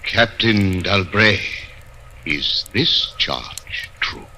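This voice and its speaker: Radio-play Grand Inquisitor: solemn friar, commanding, very cutting tone, animated contours, noisy old broadcast. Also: male voice, low-pitched, full character voice. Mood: grave. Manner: measured, grave, authoritative. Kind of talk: 1940s radio acting. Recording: noisy vintage radio recording.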